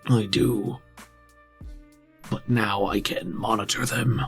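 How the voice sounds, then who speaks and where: A man's voice: lowish voice, steady tone, takes it slowly, breathy edge, heavily acted. A man voicing a huge, taciturn scavenger in a tabletop game, speaking in clipped, stilted, formal sentences. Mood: guarded, terse. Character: huge taciturn scavenger brute, terse and halting. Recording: music behind.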